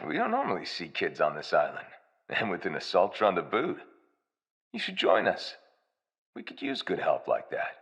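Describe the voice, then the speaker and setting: A man's voice: low-pitched, wildly swooping pitch, moderate pace, gravelly, fairly bright tone, husky, exaggerated voice. A man playing a menacing pirate leader in an audio drama, smug, taunting and commanding.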